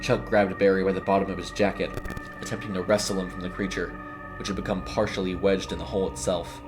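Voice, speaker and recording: Low-voiced man narrating horror over music. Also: little pitch movement, fast talker. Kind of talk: dramatic scripted horror narration.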